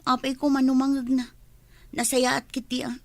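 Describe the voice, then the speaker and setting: A woman's voice: high-pitched, little pitch movement, normal speed, no echo. A woman playing a put-upon, testy village wife and mother with an Ilocano accent in a comedy radio drama. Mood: weary, guarded.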